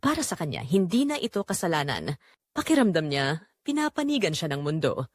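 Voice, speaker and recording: Filipino woman narrator with lively, swooping pitch. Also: brisk, no echo, studio quality. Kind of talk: scripted dramatic story narration.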